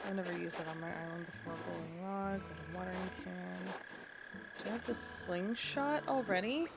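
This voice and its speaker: A woman's voice: fairly low pitch, vocal fry. A sniffly woman streamer chatting casually and thinking aloud while she plays a game. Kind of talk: casual gaming chat.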